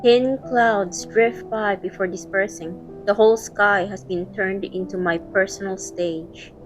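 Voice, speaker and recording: A woman's voice: mid-range voice, poor audio. A woman reading scripted first-person narration for a romantic audio drama, also voicing its heroine.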